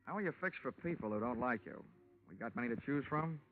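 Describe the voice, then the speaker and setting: An actor playing a wry, cool-headed insurance investigator in a hard-boiled, wisecracking 1940s radio detective style. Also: male voice, medium pitch, lively intonation, fast talker, soft background music, close to an everyday voice. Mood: cool, businesslike. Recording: tinny, band-limited 1940s radio recording.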